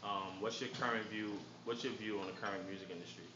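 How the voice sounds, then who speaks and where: A man's voice: lowish voice, fairly even intonation, fast talker, lo-fi sound. Guy reading interview questions, kinda hesitant, echoey room and noisy background.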